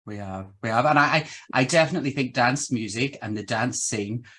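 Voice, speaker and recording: A man's voice: mid-range voice, fairly quick, a bit bright, breathy edge. A middle-aged man with a Northern Irish accent hosting a casual interview, effusive and reflective in the way he talks. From Northern Ireland. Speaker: interviewer, host.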